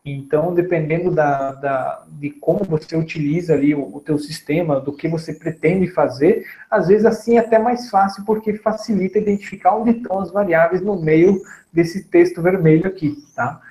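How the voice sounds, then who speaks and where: A man in his thirties teaching programming on a screen recording, relaxed and chatty, often checking in with his listeners. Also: on the high side, normal speed, reverberant, low-quality recording. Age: 30s. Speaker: programming instructor. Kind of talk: screen-recorded coding tutorial.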